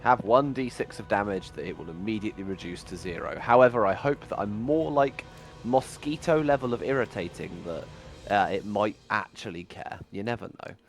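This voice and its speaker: A man's voice: on the low side, brisk, soft background music. A man playing a tabletop game, bantering casually with swearing and dry self-deprecation.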